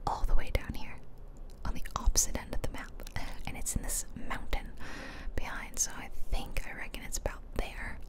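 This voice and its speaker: Whispering woman, playful ASMR gamer. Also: moderate pace. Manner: playful, chatty, self-amused. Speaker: game streamer and ASMR host. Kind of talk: whispered ASMR gameplay commentary. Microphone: close ear-to-ear microphone.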